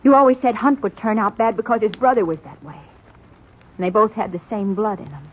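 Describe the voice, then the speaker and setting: Actress, defiant frontier woman, American, bitter, dramatic, highish voice, radio western, dull recording with faint noise. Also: fairly quick, lightly acted. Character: resolute frontier woman defying her brother. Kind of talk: 1950s radio western acting. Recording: muffled, noisy old radio recording.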